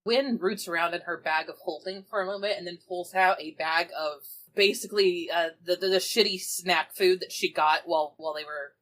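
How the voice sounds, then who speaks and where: A woman's voice: on the low side, brisk, a bit bright. A woman casually improvising narration and dialogue as a player in a tabletop roleplaying game, informal and conversational.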